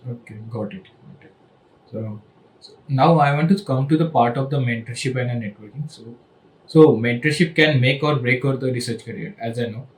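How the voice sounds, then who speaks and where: A young man in his twenties hosting a podcast, asking his questions softly and hesitantly, with fillers, into a desk microphone. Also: medium pitch, normal speed, reverberant, low-quality recording. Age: 20s. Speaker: podcast host. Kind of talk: podcast interview. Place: indoor studio room with shelves.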